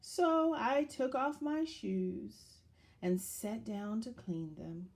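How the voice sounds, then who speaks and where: A woman reading a children's picture book aloud in a dramatic, deliberate storytelling manner, as if to young listeners. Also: fairly high pitch, a little mellow.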